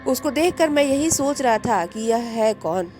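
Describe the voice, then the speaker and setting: A woman telling a first-person horror story, dramatic, rising with suspense and dropping to a hush in tense moments. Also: a little high, lively intonation, measured pace. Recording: music bed under the voice.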